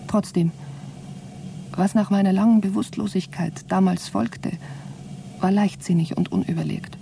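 Female actor for sardonic female astronaut, radio satire. Dry, severe, weary, dark-mellow tone, faint noise behind. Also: mid-range voice, creaky, lightly acted. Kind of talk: satirical science-fiction radio drama acting.

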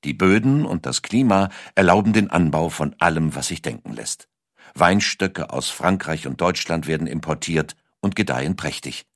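Very deep male storyteller, expressive delivery. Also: normal speed, no room sound, clean studio sound. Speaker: audiobook narrator. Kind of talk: scripted documentary storytelling narration.

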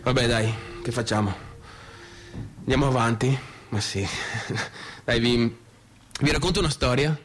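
An actor with a northeastern Italian Veneto accent playing a bitter, rambling son of a small-town businessman, in a halting, colloquial theatrical monologue. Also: mid-range voice, fairly bright tone, lightly acted. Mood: rambling, storytelling. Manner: halting, repetitive, confiding, conversational. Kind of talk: theatrical monologue in colloquial dialect-tinged speech.

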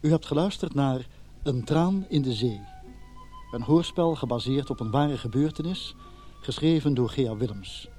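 A man's voice: medium pitch, normal speed, dark tone, faint music behind. A man reading scripted closing credits as the announcer of a radio drama.

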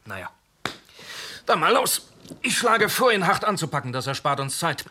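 A formal, courteous police inspector in a radio drama who stays firm behind the politeness. His voice has a bright, forceful edge. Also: fairly high pitch, big pitch swings, moderate pace, a little hiss or hum. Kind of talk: radio play acting.

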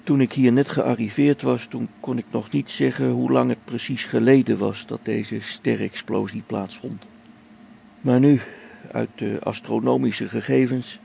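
Radio play: a gravelly male explorer in his middle years, faith shaken, halting delivery dropping to whispers, mid-range voice. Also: mid-range voice, slight background noise. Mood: hushed, somber. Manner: brooding, halting, sinks to hushed whispers. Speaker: log-keeping space expedition member.

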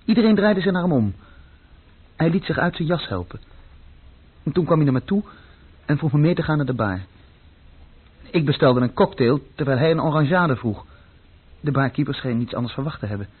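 A man's voice: fairly high pitch, expressive, fairly quick, pauses often, breathy edge. An actor playing a searching, brooding runaway son who tells his own story in a radio play, plainly and introspectively. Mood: brooding, reflective. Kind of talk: radio play narration and dialogue. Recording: muffled, phone-like old radio recording.

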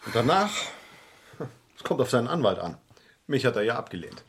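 An actor playing an excitable, sarcastic French lawyer and grown son in a German radio crime drama, theatrical and easily indignant. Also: male voice, mid-range voice, a little fast, vocal fry, breathy edge, full character voice. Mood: sulky indignation.

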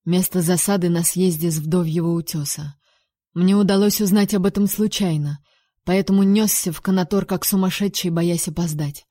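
A woman's voice: lowish voice, fairly even intonation, normal speed, warm tone, natural voice. A woman reading the lines of a stern, protective noble duke in an audiobook, barely shifting her own voice, with urgent pleading calls. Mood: grave.

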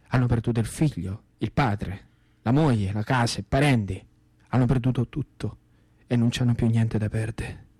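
A man's voice: medium pitch, darkish tone, dry recording. A man with a Roman Italian accent performing a dramatic first-person wartime monologue in short, colloquial, theatrical phrases. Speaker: stage actor and storyteller.

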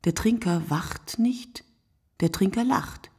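A woman playing a reflective narrator in a literary radio play, with a husky voice and deliberate, fragmented, poetic phrasing that dwells on single words. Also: medium pitch, a little mellow, no room sound, studio quality. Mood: incantatory, wry. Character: reflective woman narrator musing poetically on night-time drinkers.

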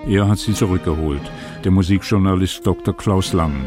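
Deep male radio reporter, narrating and asking questions, music behind him. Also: moderate pace. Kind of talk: feature narration and interviewing.

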